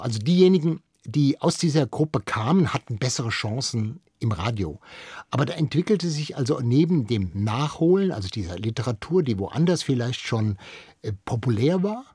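A man's voice: mid-range voice, darkish tone, slightly rough, no echo, studio quality. An older man reminiscing conversationally as a guest in a radio interview, explaining things with emphatic repetitions. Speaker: studio guest, radio veteran and archive expert.

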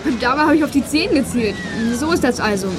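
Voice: high voice, animated, quick pace, noisy background. An actor in a German youth audio drama playing a bold, clever teenage boy detective, eager and confident. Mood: determined.

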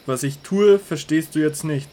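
A man's voice: fairly high pitch, normal speed, dark tone, close to an everyday voice. A man playing a solemn, earnest teacher. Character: calm authoritative male teacher Jesus. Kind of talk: radio drama acting.

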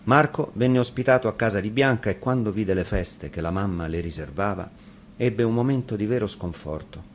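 A man's voice: lowish voice, normal speed, a little hiss or hum. A man reading a fairy tale aloud as a storyteller, in scripted narration.

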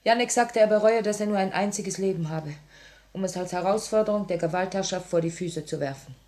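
An actress playing a grieving but resolute young revolutionary in a 1950s German radio play, solemn and theatrical. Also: female voice, medium pitch, fairly quick, darkish tone, natural voice. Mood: grave, resolute. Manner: theatrical, solemn, impassioned delivery. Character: grieving, resolute young woman revolutionary, torn between love and duty.

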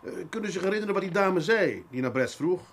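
A man playing a shrewd, seasoned police detective in a radio drama, speaking deliberately with thoughtful hesitations in a fatherly way. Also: high voice, expressive. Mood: polite, probing. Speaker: actor in a detective radio play. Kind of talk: naturalistic radio drama dialogue. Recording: audible background noise.

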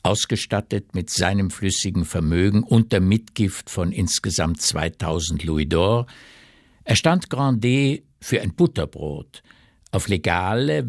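Low-voiced guy narrating a radio play, really lively melody, dry sound. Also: gravelly, no echo. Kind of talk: literary radio drama narration.

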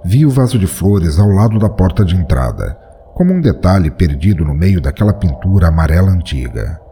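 A man's voice: low-pitched, very animated, moderate pace, mellow, a little hiss or hum. A man reading a first-person horror story aloud in a dramatic, tense storytelling manner. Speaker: narrator.

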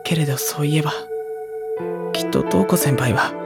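An actor playing a troubled, withdrawn high school boy in an audio drama, narrating inner thoughts and speaking in a guarded, brooding way. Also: moderate pace, loud music behind the voice, close to an everyday voice. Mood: bitter, brooding. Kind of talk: dramatic inner monologue and dialogue.

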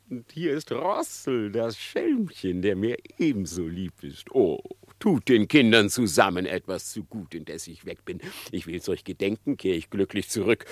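A sly, self-important fox uncle speaks in a lowish voice with a dry, close sound. The delivery is theatrical and declamatory, smooth-talking its way through an old verse fable. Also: male voice, no echo, heavily acted. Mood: fond, emphatic. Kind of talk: dramatised verse fable, German audio play.

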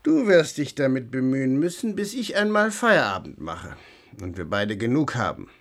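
An actor playing a brutish, greedy robber in a radio play, blustering and emphatic in archaic German. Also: male voice, mid-range voice, big pitch swings, normal speed. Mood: grumbling. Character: Olivier, brutish greedy male robber, rough comradely swagger.

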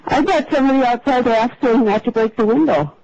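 A woman's voice: a little high, fast talker. An older woman speaking as a hotel guest on a phone call. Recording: muffled, very noisy telephone line.

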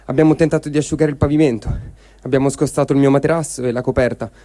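Man with dark, mellow voice, reading testimony. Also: medium pitch, fairly even intonation, racing. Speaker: reader of a translated testimony. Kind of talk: dramatic reading of a first-person diary.

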